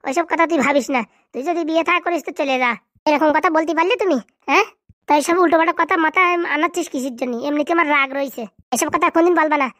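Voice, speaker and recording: A man performs a practical village buddy in a comedy cartoon. He bickers and chides bossily in a high, very fast voice, recorded dry. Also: sharp tone, dry recording. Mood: scolding. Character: bickering, practical village buddies planning food and shopping. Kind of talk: comedy cartoon dialect acting.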